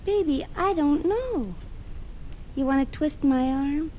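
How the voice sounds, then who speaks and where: An actress playing a brazen, flirty, wisecracking gangster's moll in a vintage radio detective drama, coy and sardonic. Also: female voice, high-pitched, animated, slow pace. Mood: coy, flippant. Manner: coy, sardonic, teasing with pet names. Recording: tinny, noisy old radio broadcast.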